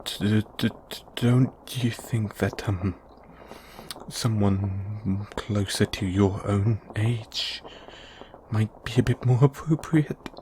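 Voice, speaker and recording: A man's voice: lowish voice, takes it slowly, a little mellow, slight background noise, poor audio. A man playing a kindly middle-aged father in an audio roleplay, nervous, awkward and stammering. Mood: flustered, uneasy.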